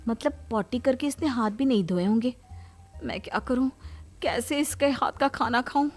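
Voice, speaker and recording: A woman's voice: a little high, normal speed, faint music behind, lightly acted. A woman voicing a defensive, pleading young wife and mother in a comic family story. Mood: worried. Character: defensive, tearful young daughter-in-law and mother in family comedy.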